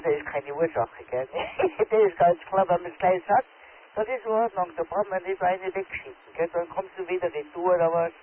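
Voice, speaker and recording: A man with a Tyrolean accent chatting on an amateur radio contact, talking into a handheld set from his garden, rambling and homely, tagging his sentences with a question. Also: a little high. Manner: rambling, homely, ends phrases with a tag question. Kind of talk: casual radio contact chat. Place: outdoors in a garden. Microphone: handheld radio and phone held close. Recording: tinny radio transmission with crowd behind.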